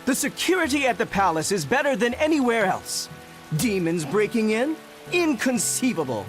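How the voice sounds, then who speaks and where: A man's voice: high-pitched, big pitch swings, moderate pace, faint music behind. A man playing a dismissive townsperson in a video game, emphatic and sceptical. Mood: adamant disbelief.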